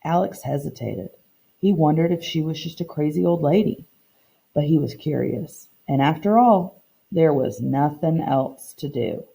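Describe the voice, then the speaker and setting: A woman teacher reading a storybook aloud to children in an expressive, deliberate way, pausing to teach. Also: deep voice, vocal fry, rough recording. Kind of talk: read-aloud lesson for children, storybook narration.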